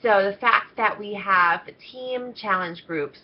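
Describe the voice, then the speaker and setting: A woman's voice: medium pitch, takes it slowly. A woman coaching her team in a chatty, upbeat training talk, nasal and stuffed up with a cold. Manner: upbeat, chatty, many ums. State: congested, coming down with a cold. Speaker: team coach leading an internship. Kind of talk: casual video training talk. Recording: slightly dull lo-fi audio.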